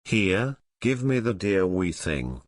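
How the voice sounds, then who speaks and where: A man voicing a kindly old woman, a magical godmother in a storybook, gentle and solemn. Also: sits low, sing-song, takes it slowly, dry recording, studio quality, pitched below the performer's own voice, exaggerated voice. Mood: kindly, willing.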